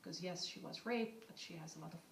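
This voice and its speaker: A woman whose first language is Arabic, an author speaking on a panel in a halting, reflective way. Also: fairly low pitch, distant mic, slight background noise. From Palestine. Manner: halting, reflective, many restarts. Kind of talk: panel discussion. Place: event hall with audience. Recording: roomy hall miked from afar.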